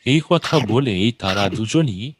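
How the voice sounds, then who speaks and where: A man's voice: lowish voice, expressive, slow pace, slightly rough. A man narrating a scripted children's story as a storyteller.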